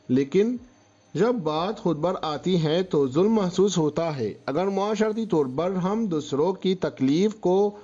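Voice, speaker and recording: A man's voice: high voice, dark tone, dull audio. A man narrating a scripted moral story as a storyteller.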